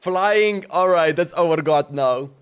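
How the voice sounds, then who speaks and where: High-pitched man chatting on a livestream. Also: slow pace, clear, resonant tone. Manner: informal, repeats himself. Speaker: live streamer. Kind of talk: casual game livestream chat and reactions.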